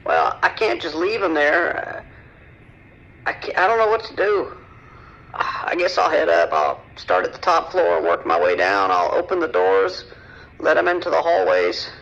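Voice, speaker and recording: A distraught male caller with a high, sharp, crackly voice on a muffled phone line. He is hesitant and tender-hearted, pleading anxiously in an emergency-call drama. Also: huge pitch swings, moderate pace, gravelly, full character voice. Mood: distressed, resolved. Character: distraught, soft-hearted male New York apartment-building resident. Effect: phone or radio filter. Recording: dull, band-limited emergency phone line.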